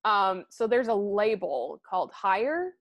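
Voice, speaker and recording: A woman's voice: medium pitch, very animated, a little slow, no room sound. A woman co-hosting a podcast, chatting casually and rambling with fillers and laughs. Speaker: podcast co-host. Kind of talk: casual chat.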